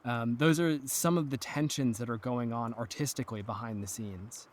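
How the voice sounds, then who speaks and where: A man talking in an earnest, measured way as a guest in a panel discussion, choosing formal words. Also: mid-range voice, fairly even intonation, a little hiss or hum. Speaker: interview guest. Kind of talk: articulate, considered panel discussion.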